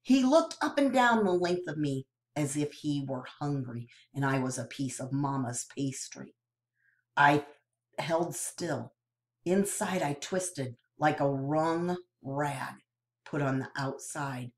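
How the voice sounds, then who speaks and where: A woman reads a novel aloud in a slow, deliberate storytelling voice. Her pitch sits low but swings widely, giving the reading an expressive lilt. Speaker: narrator.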